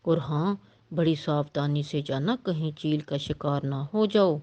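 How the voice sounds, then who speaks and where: Woman doing a low-voiced concerned pigeon uncle. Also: unhurried, warm tone, highs cut off. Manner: concerned, advising. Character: male pigeon, helpful cautious uncle.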